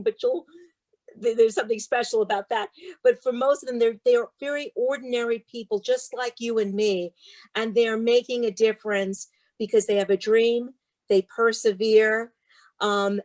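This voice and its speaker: A woman's voice: on the high side, darkish tone. A woman speaking as a guest author, with an emphatic, rhythmic delivery suited to sharing and reading storybooks. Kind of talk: interview talk and expressive storybook read-aloud.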